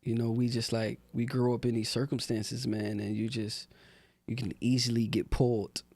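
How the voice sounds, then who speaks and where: A man's voice: mid-range voice, moderate pace, no echo. A young man from Newark talking in a laid-back, casual way with lots of fillers, as a podcast guest. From Newark, New Jersey. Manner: laid-back, relaxed street talk with many fillers. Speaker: rapper and guest. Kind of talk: casual interview talk.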